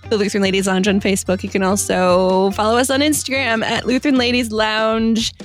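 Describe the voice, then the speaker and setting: A woman hosting a podcast in a chatty, informal way. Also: medium pitch. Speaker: podcast host. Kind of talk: casual podcast chat and announcements.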